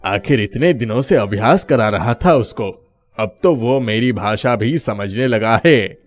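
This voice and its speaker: Man playing a slow-talking sly thief. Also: mid-range voice, expressive, natural voice. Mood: boastful. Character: cunning, boastful male thief posing as a madman. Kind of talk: animated moral story dubbing.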